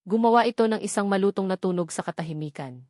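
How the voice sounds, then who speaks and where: Female audiobook narrator, medium pitch, dramatic scripted storytelling, close dry recording. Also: fast, darkish tone, dry recording.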